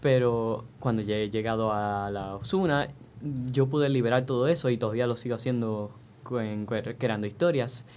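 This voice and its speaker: A young man, answering interview questions in a thoughtful, halting way. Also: medium pitch, darkish tone, a little hiss or hum. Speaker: student actor, interview guest.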